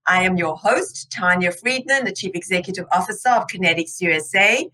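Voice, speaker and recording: A woman's voice: fairly low pitch, fairly quick, bright, forceful tone, rough recording. A woman hosting a podcast interview, upbeat and encouraging with her guest. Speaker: podcast host. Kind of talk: casual interview.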